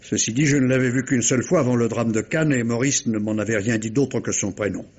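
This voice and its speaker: Formal, husky middle-aged gentleman played by a man. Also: mid-range voice, fast talker, lightly acted. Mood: guarded, sorrowful. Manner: formal, precise, refined diction. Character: formal, guarded gentleman uncle protecting his nephew. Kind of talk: mid-century French radio crime drama acting. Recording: dull, muffled old radio recording.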